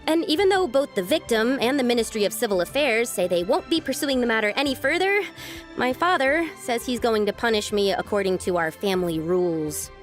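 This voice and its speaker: A woman's voice: fairly high pitch, animated, brisk, soft background music, lightly acted. A woman playing an earnest, troubled but determined young woman in a video game, speaking in a confiding, conversational way. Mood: dejected.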